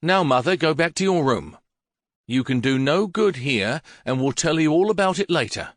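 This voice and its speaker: A touchy middle-aged lawyer in a storybook, read by a man, formal and easily heated. His voice sits a little higher than the reader's own and moves a lot. The recording is dry and close. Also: animated, moderate pace, no echo. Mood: stern.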